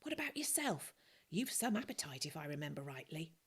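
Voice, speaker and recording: A kindly fugitive man in a storybook, voiced by a woman reader. He speaks earnestly and inquisitively, grateful to others, in a husky, creaky voice. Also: sits low, sing-song, a little fast, exaggerated voice. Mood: amazed. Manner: earnest, questioning.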